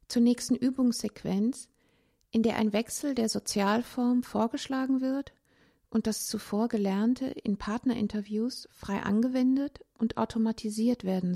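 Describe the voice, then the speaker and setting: A woman reading a literary essay aloud in a measured, contemplative way, with pauses between phrases. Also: a little high, creaky, mellow. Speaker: narrator, language teacher.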